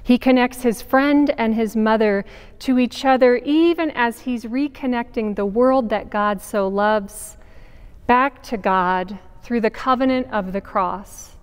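A woman pastor in her forties gives a sermon in a big sanctuary, her voice smooth and a little high. She speaks slowly and deliberately, leaning on pauses for weight. The sound carries a long church reverb. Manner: measured, deliberate preaching with emphatic pauses. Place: large church sanctuary with pipe organ. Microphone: camera at a distance, wide sanctuary shot.

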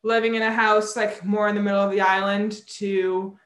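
Young woman, from Barbados, mid-pitch, chatty, laughs often, video call, echoey room. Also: little pitch movement, moderate pace. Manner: chatty, explains with hand gestures, laughs often. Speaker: sailor, interview guest. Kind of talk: remote video interview. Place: indoor room at home. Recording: roomy video call audio.

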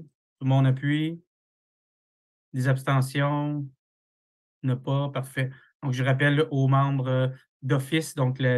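Even-toned man chairing a video meeting. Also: medium pitch. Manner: procedural, halting with many fillers. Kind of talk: chairing a formal online meeting. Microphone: video call.

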